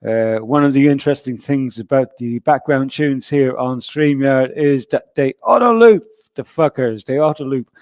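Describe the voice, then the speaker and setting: A man's voice: fairly high pitch, darkish tone, dry recording, studio quality. A man hosting a livestream in casual chat, swearing freely and greeting viewers by name in a loose, chummy way. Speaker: livestream host.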